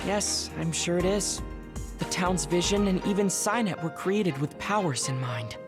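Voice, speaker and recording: A woman playing a composed, knowledgeable young male officer in an anime-style game, explaining things in a measured, formal way. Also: lowish voice, close to an everyday voice. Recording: music under the dialogue.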